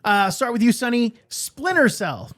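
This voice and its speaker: A man's voice: extremely high pitch, big pitch swings, a little slow, a bit bright, no echo. A man hosting a casual podcast debate in an upbeat, enthusiastic manner. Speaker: podcast host. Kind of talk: casual moderating chat.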